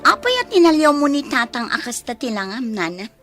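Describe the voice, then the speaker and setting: A woman's voice: high-pitched, sing-song, a little fast, over music. A woman playing a bossy, haughty young woman in an Ilocano radio drama, threatening in manner.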